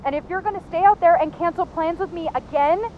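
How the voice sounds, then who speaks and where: Actress as high-voiced angry girlfriend on the phone. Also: quick pace. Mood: bitter, confrontational. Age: young adult. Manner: confrontational, accusing. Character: angry, demanding young girlfriend being let down. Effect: phone call voice. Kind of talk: audio drama acting. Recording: muffled phone line, noisy street.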